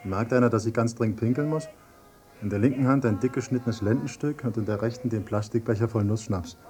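An actor playing a grim, sardonic narrator in a radio drama, speaking in a dry, clipped, matter-of-fact way. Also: male voice, medium pitch, brisk, darkish tone, soft background music, lightly acted.

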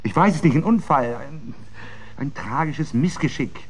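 An actor playing a scheming middle-aged married man in a German radio drama, talking in a smooth, evasive, persuasive way. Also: a little high, animated, normal speed. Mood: conspiratorial. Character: scheming, evasive married man plotting against his wife.

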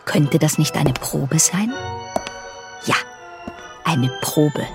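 An actress playing a young woman's secretive inner voice in a radio play, musing in hushed, creaky, clipped phrases. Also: low voice, very slow, heavily acted. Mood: brooding, wary. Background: music.

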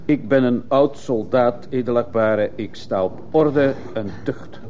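A man's voice: medium pitch, a little slow, warm tone, close to an everyday voice. An actor playing a stiff old disciplinarian sergeant in a radio drama, deferential as he testifies. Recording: muffled old radio play, faint noise.

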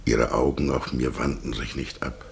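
An actor playing a brooding, sensual middle-aged husband lost in memory, murmuring haltingly in a German radio drama. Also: male voice, steady tone, slight background noise. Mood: rapt, sensual. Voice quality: very deep, gravelly. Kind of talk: literary radio drama monologue.

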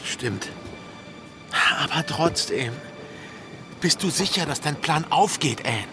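Wary pirate, music underneath. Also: male voice, on the high side, lively intonation, slow pace. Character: wary male pirate mate, anxious, hot-tempered. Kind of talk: German radio drama acting.

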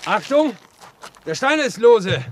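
An actor in a German radio drama playing a folksy, helpful railway line inspector who likes to chat and caution. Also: male voice, sits high, very animated, slow, exaggerated voice. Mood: urgent, warning. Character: chatty, obliging railway line inspector guiding a stranger. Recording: noisy old radio play recording.

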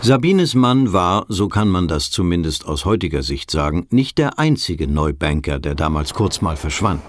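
A low-voiced male documentary narrator for a radio play. He is sober and detached, delivering scholarly asides in measured, factual phrases over faint noise. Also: sing-song. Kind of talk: scripted mock-documentary narration.

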